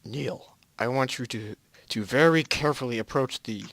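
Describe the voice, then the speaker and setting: Man acting a bright-voiced, careful mission controller. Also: mid-range voice, normal speed, dry recording. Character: urgent, careful male mission control officer. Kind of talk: amateur group fan fiction reading.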